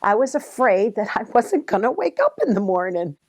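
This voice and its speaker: Woman talking candidly in an interview, deliberate, repeats bits, average pitch. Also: sing-song.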